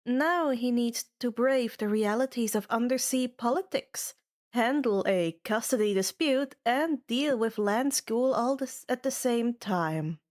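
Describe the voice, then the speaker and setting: A woman's voice: a little high, measured pace, darkish tone. A woman hosting and narrating a fan fiction reading in a scripted, audiobook style. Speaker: fan fiction reader and channel host.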